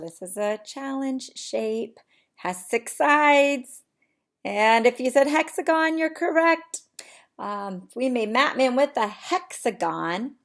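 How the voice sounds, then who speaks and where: A woman preschool teacher reading a picture book aloud to young children in an expressive, sing-song way, often asking them questions. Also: on the high side.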